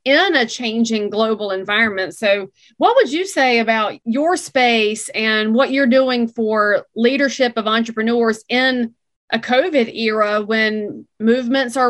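Animated, fairly high-voiced woman host. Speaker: podcast host. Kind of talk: interview podcast.

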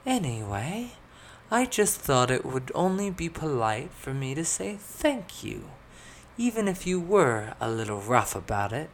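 A narrator voicing a young noblewoman in a fantasy story, pompous but unsure of herself, with a drawn-out delivery. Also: mid-range voice, sing-song, slight background noise, pitched above the performer's own voice. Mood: haughty, grudging.

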